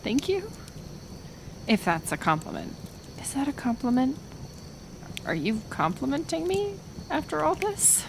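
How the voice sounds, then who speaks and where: A woman playing a kind, flustered, curious healer in an intimate ASMR roleplay, speaking softly and hesitantly. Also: high voice, big pitch swings, gravelly, noisy background, full character voice. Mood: flustered, amused. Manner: halting, soft, hesitant delivery. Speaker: roleplay audio performer.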